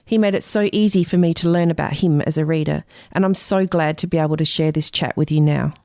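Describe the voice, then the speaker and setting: Lower-pitched woman hosting a podcast interview. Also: brisk, warm tone. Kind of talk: conversational interviewing.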